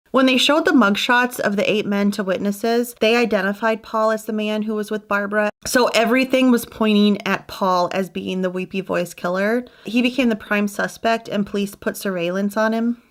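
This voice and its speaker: A woman hosting a true crime channel, narrating a scripted case story in a matter-of-fact way. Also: medium pitch.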